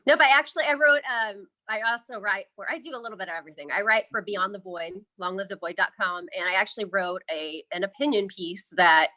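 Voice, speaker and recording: A woman's voice: mid-range voice, quick pace, hardly any pauses, pushed and bright, dull audio. A woman from the American Midwest chatting casually and candidly as an interview guest, often saying "like". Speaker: interview guest, podcaster and writer.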